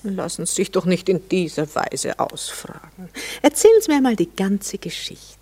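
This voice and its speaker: A woman's voice: lowish voice, big pitch swings, normal speed, mellow, breathy edge, heavily acted. A teasing, worldly society lady with a tinge. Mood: teasing, insistent. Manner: mocking, coquettish, insistent. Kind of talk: classic German radio play acting.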